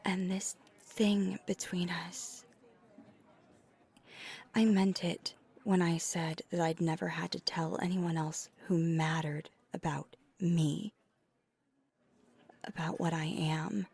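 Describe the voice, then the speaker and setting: A woman playing a tender, flirty vampire in an ASMR roleplay, speaking in a breathy, often whispered voice with earnest, hesitant pauses. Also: lowish voice, fairly even intonation, a little mellow, exaggerated voice. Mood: earnest, anxious. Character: flirty, tender female vampire confessing to a date. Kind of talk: soft ASMR roleplay, intimate confession.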